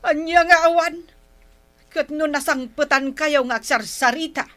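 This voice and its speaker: A woman acts out a nagging, jealous wife in an Ilocano comedy drama. Her voice is fairly high and piercing, with slow, drawn-out exclamations. She scolds with an Ilocano accent. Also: bright, forceful tone. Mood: suspicious, indignant. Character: jealous nagging older wife of village captain. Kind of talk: broad comic drama acting.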